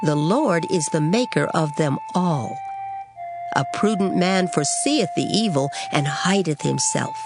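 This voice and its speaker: A woman reading scripture for a dramatized audio Bible with measured, formal delivery. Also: low voice, animated. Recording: music clearly under the voice. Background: background music.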